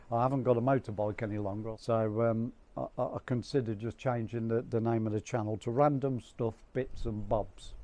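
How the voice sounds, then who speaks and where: A middle-aged man talks to camera in a rambling, chatty way, his voice croaky and hoarse from a cold. His tone is dark and mellow. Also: medium pitch. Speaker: vlogger. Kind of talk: casual chat to camera.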